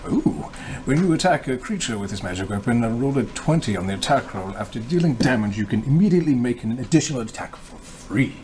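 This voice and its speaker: A man's voice: medium pitch, lively intonation, fast talker, darkish tone. A man playing a tabletop game, casually role-playing and reading out rules with group chatter around him.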